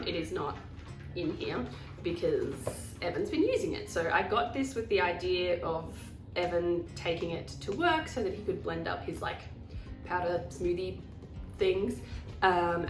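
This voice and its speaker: A woman's voice: lowish voice, roomy sound, recorded from a distance, low-quality recording. A woman with a cold and a nasal voice, chatting casually about her shopping haul, rambling and poking fun at herself. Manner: chatty and rambling, self-deprecating. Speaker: vlogger.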